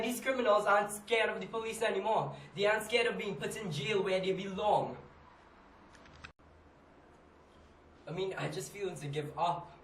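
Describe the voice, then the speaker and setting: A man's voice: on the high side, a bit bright, lightly acted. A man with a Trinidadian accent playing a frustrated, weary businessman in an emphatic dramatic monologue. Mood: exasperated, fed up. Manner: emphatic, exasperated, with rhetorical questions. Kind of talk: dramatic monologue, amateur stage acting. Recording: echoey room, distant mic, faint noise.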